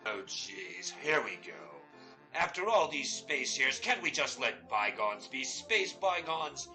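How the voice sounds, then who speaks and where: A man's voice: mid-range voice, big pitch swings, slow pace, bright, forceful tone, soft background music, exaggerated voice. A man playing a self-pitying, sheepish space captain in a comic sci-fi radio play, with wry, hammy delivery. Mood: defensive.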